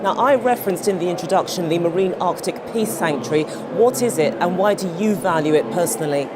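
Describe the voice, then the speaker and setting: Woman interview host, polished, upbeat, lowish voice, noisy background with traffic. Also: a little fast. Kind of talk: broadcast interviewing. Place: studio at an outdoor event.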